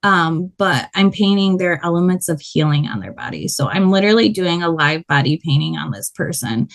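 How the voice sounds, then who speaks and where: A woman with a loud voice, a body painter telling her story in a casual interview, animated and quick to laugh. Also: lowish voice.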